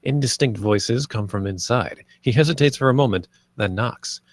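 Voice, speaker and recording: A man's voice: mid-range voice, normal speed, no room sound. Dry-sounding man narrating screenplay directions. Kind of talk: scripted narration at live table read.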